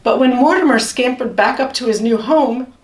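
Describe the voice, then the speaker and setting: A woman reading a children's story aloud with measured, expressive storytelling. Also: a little high. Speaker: storybook reader. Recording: roomy echo, distant microphone.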